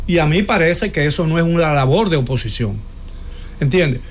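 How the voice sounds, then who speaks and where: A man's voice: on the high side, animated, moderate pace, slight background noise. A man debating politics on a talk show, hesitating with many filler sounds and restarts, often asking for agreement. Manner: opinionated, asks listener for agreement.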